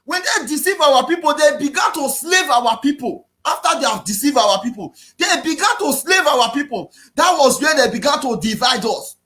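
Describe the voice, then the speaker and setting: A man with a Nigerian accent giving an impassioned political talk, repeating himself and often raising his voice to a shout. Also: very high-pitched, expressive, normal speed, few pauses. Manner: preachy, repetitive, often shouting. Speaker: activist speaker.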